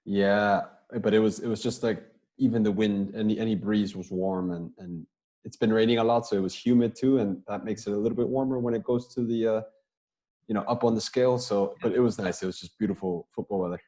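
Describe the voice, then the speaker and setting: A young man, a soccer player, answering interview questions over a video call in a relaxed, rambling way, often repeating words as he talks. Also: fairly low pitch, fairly quick. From United States.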